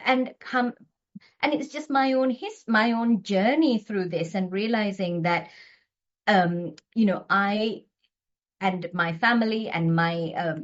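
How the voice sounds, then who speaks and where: A woman's voice: fairly low pitch, muffled recording. A middle-aged woman doctor from Sri Lanka, a guest talking earnestly with frequent restarts. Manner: earnest, talks with many restarts. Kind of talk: podcast interview.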